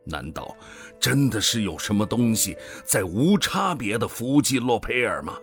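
An actor playing a weary, guilt-ridden older male commander in a radio drama, brooding and deliberate with sighs. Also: lowish voice, very animated, fairly bright tone, soft background music. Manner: brooding, deliberate, sighing soliloquy.

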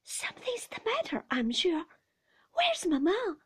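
Woman voicing a frightened, very high-pitched little boy. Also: wildly swooping pitch, moderate pace, warm tone, exaggerated voice. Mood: frightened, anxious. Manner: anxious, exclaiming questions. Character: frightened, tearful, pleading young boy.

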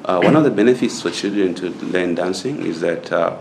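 Deep gravelly young man from Zambia. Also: a little mellow, slight background noise, poor audio. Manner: hesitant, many filler pauses. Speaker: student taking a mock speaking test. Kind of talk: unscripted interview answers.

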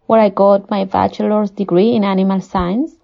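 A woman from Peru with a Spanish accent, a poultry nutritionist giving measured, formal, prepared answers as an interview guest. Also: mid-range voice, level tone, gravelly. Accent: Peruvian Spanish. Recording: dull, muffled lo-fi audio.

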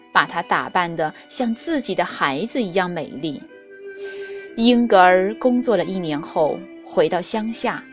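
A woman teacher reading a fairy tale aloud to children in a gentle, expressive storytelling voice. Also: medium pitch.

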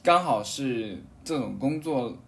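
A man chatting casually as a podcast co-host, telling a personal story in a halting way with repeated, restarted phrases. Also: medium pitch, animated, gravelly.

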